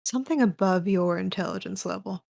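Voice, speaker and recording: Woman acting cool, creaky-voiced secret agent. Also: medium pitch, a little fast, a little mellow, no room sound, clean studio sound. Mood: cool, curt. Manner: clipped, businesslike, condescending. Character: cool, curt, ruthless female agent. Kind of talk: audio drama acting.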